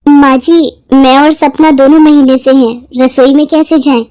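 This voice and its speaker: A woman's voice: high voice, steady tone, normal speed, mellow, dry recording, lightly acted. A woman voicing a shy, resigned young married sister-in-law, hesitant and accepting, in a moral-story drama.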